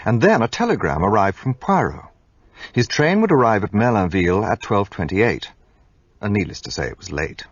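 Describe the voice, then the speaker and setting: An actor playing an earnest, impulsive gentleman who narrates a radio play in an expressive way. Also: fairly low pitch, breathy edge, no room sound, muffled recording, exaggerated voice.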